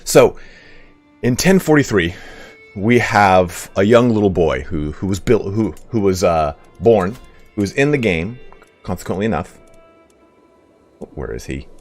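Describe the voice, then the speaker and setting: A man streaming a game while giving a casual, rambling history talk, hoarse today, with blunt profanity and shout-outs to donors. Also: sits low, sing-song, unhurried, long pauses, soft background music. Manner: rambling, profane, reads donations aloud.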